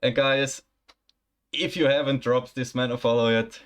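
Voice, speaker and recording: A man's voice: medium pitch, steady tone, moderate pace. A man hosting an esports stream, interviewing in an informal, appreciative, chatty way. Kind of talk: casual esports interview chat.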